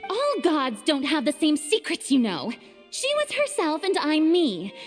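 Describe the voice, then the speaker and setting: An actress playing a haughty, theatrical young goddess in a video game, imperious and defensive in manner. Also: female voice, high voice, wildly swooping pitch, a little slow, full character voice. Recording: reverberant hall, music behind. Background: music.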